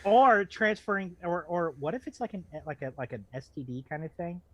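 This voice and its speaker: A male co-host trades jokes in casual banter. He rambles into comic riffs in a halting way, starting and restarting as he builds the bit. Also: high-pitched, big pitch swings, a bit bright, no room sound. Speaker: podcast co-host commentator.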